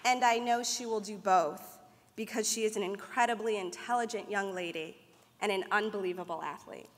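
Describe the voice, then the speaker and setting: A woman's voice: mid-range voice, moderate pace, a little hiss or hum. Earnest young woman, prepared speech. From United States. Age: young adult. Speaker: mentor presenting an award. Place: banquet hall at an awards dinner. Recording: echoey banquet hall.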